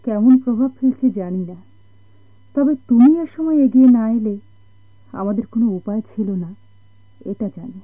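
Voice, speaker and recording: Radio drama: sorrowful, soft-hearted wife, raised husky voice, Bangladeshi accent, plaintive and halting. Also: female voice, fairly high pitch, animated, no echo. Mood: grateful, wistful. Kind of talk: Bengali radio drama acting. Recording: dull, narrow-band old radio recording.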